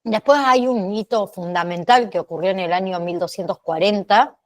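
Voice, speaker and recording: A woman's voice: mid-range voice, normal speed. A woman lecturing as a course teacher, often tagging her phrases with short questioning check-ins. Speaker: teacher of an online pharmacy assistant course. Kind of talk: online lecture, explaining history to students.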